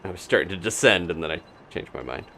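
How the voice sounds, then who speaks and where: Low-voiced man streaming a video game. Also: lively intonation, quick pace, vocal fry, pushed and bright, slight background noise. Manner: thinking aloud, talking to himself and his game character. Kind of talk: casual live gameplay commentary.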